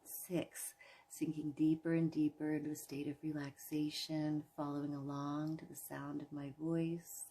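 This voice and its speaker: A woman leading a guided imagery relaxation in a soothing, gentle manner, leaving long pauses between phrases. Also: low-pitched, flat delivery, breathy edge, rough recording. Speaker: guided meditation host.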